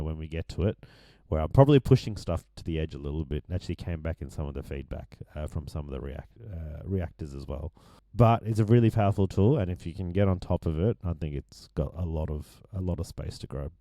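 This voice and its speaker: A man with a deep voice that is going hoarse, casually walking through his vocal mix with many ums and restarts. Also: fairly quick, warm tone, no echo. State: losing his voice. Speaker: music producer explaining his mix. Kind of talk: casual screen-share tutorial.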